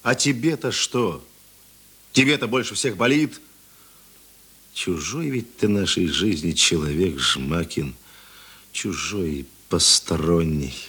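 An actor playing a stern, world-weary middle-aged police chief in a radio drama, with a husky, gruff, dry delivery. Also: male voice, deep voice, animated, slow, pauses often, pushed and bright, heavily acted. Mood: stern, reproachful.

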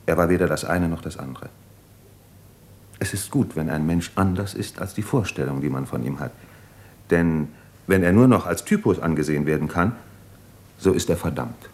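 An actor in a 1950s German radio drama playing a tormented, thoughtful Russian doctor in love, speaking in a measured, articulate way. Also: male voice, sits low, lively intonation, a little mellow. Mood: earnest, reflective.

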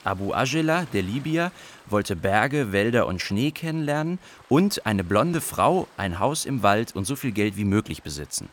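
A man's voice: lowish voice, very animated, moderate pace, slight background noise. A man playing a young Iraqi refugee, narrating his flight in a literary radio play. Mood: narrative.

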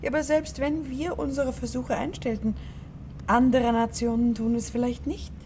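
A woman's voice: a little high, steady tone, normal speed, darkish tone, close to an everyday voice. An actress playing a determined, caring and inquisitive woman in a German radio play. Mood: curious. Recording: radio play with clear background noise.

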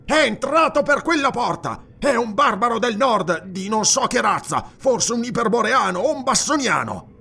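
A man voicing a jittery, blustering night watchman in a fantasy audiobook, with a strained, raspy, nervous voice. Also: very high voice, normal speed, few pauses, pitched above the performer's own voice, full character voice. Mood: agitated, explaining. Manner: nervous, excitable exclamations. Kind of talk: dramatized fantasy audiobook dialogue.

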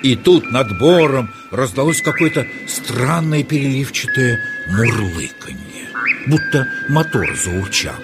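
A man narrating forest tales for children as an expressive storyteller in an audio play. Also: mid-range voice, a little slow, over loud music. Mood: unhurried.

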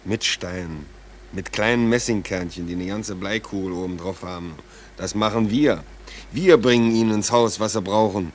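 A deep-voiced, laconic ship's hand, acted by a man in a 1940s radio drama. He talks tersely and plainly, with wry sarcasm. Faint noise runs through the vintage recording. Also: moderate pace, lightly acted. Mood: bitter, sardonic. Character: laconic, wry merchant seaman, the shipmate. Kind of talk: 1940s German radio play acting.

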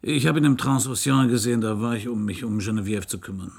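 An actor playing a wry, hard-boiled private detective who narrates laconically in a German radio crime drama. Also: male voice, mid-range voice, fast talker, a little hiss or hum, lightly acted. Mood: sardonic. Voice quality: husky, gravelly. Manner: laconic, dry, sardonic.

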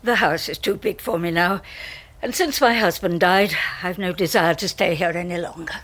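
An actress playing a chatty, matter-of-fact widow in a radio drama, with a husky voice. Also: female voice, fairly low pitch, moderate pace, fairly bright tone. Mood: chatty reminiscing.

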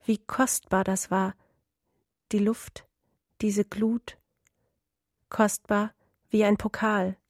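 A woman's voice: medium pitch, level tone, slow, long pauses, darkish tone, no room sound, clean studio sound. A woman author reading her own literary prose in a narrative style.

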